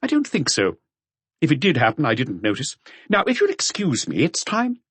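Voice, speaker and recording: Man putting on a high-pitched, curt schoolmaster. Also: huge pitch swings, moderate pace, a bit bright, slightly rough, no room sound, full character voice. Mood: politely dismissive.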